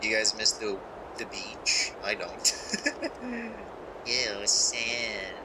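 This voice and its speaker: Male game streamer, fairly low register, loose offhand reactions, noisy room ambience behind the mic. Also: big pitch swings, drawn-out words. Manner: short offhand reactions, mumbled asides. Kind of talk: casual livestream commentary over a game.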